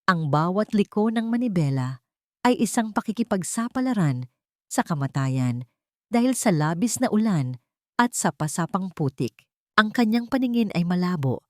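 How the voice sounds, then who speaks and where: A woman narrating a dramatic audiobook story in a solemn, literary way, with short deliberate phrases. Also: on the low side, dry recording, clean studio sound.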